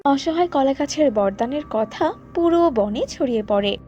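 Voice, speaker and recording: A woman's voice: sits high, lively intonation, normal speed, dark tone, dull audio, soft background music. A woman narrating a scripted children's cartoon story.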